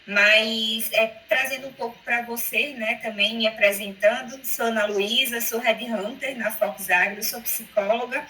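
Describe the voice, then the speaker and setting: A woman's voice: a little high, fairly even intonation, unhurried, few pauses, sharp tone, slight background noise, rough recording. A woman with an Alagoas accent from northeastern Brazil, a headhunter interviewing on a video call in a friendly, chatty way. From Maceió, Alagoas, Brazil. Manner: friendly, chatty, laughs often.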